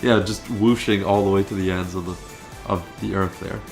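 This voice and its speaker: A man's voice: low-pitched, steady tone, normal speed, creaky. A man co-hosting a gaming video with casual, easygoing commentary, riffing along with the jokes. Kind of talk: casual gameplay commentary banter. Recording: loud game music behind the voice. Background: video game music.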